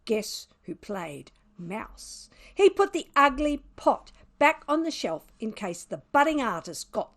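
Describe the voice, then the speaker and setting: A woman reading a children's storybook as a smug, sardonic, mischievous pet cat, with dramatic, wry emphasis. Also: on the high side. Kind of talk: children's storybook read aloud.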